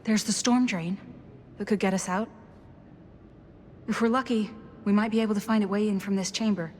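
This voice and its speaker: A woman's voice: mid-range voice, fairly even intonation, moderate pace, darkish tone, a little hiss or hum, close to an everyday voice. An actress voicing a practical, inquisitive young woman in a cinematic horror game, heard in a reverberant space. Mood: tense, practical.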